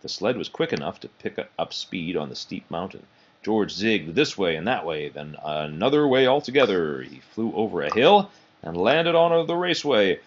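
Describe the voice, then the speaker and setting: A man reads a picture book aloud for children in a fairly low voice. His delivery is expressive and swings widely in pitch, and the recording is dull and muffled. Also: moderate pace, slight background noise. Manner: expressive, animated storytelling. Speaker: storybook reader.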